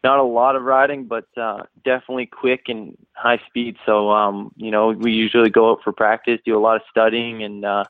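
Young canadian man, low voice, flat delivery, tinny dry phone line, relaxed, lots of uh and you know. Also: fairly quick, few pauses, no room sound. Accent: Canadian, slightly worn toward American. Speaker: guest, pro motocross racer. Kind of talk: phone interview.

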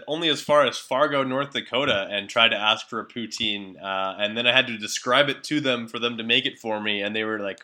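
A man's voice: medium pitch, bright, forceful tone. A man hosting a radio show, interviewing casually in a friendly, hesitant way with many fillers. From Canada. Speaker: radio host.

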